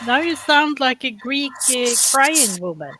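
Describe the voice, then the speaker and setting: A woman's voice: sits high, expressive, a little slow, background noise. Hesitant woman searching for words. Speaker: chat participant. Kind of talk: casual chat.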